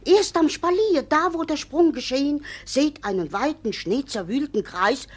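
A woman's voice: high-pitched, expressive, normal speed. An actress playing a middle-aged, superstitious village woman who gives testimony in an emphatic, gossipy storytelling manner in a classic radio play. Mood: insistent, dramatic. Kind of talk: classic stage comedy radio play acting.

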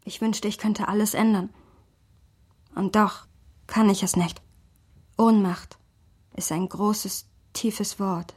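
An actress playing a sorrowful, reflective woman with a husky voice in a radio play. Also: medium pitch, fairly even intonation, slow, pauses often.

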